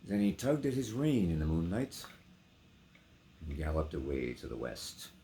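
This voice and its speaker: A man giving a theatrical, declamatory poetry recitation with sharp contrasts between hushed and loud delivery. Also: sits low, sing-song, moderate pace, slight background noise. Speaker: poetry reader.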